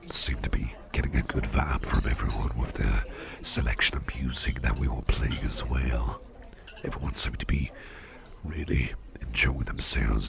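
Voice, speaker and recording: A man playing a suave, doting devilish businessman boss in a soft-spoken ASMR roleplay, murmuring with courtly, unhurried gratitude and slipping into gravelly whispers. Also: rumbling low, heavily acted. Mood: pleased, gracious. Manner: courtly, unhurried, repeats phrases appreciatively. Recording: party crowd murmur behind voice. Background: crowd chatter.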